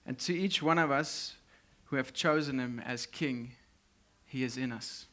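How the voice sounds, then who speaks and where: Slow man preaching in short phrases. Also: mid-range voice, a bit bright. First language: Afrikaans. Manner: speaks in short phrases, pausing for translation. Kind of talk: sermon with live interpreter.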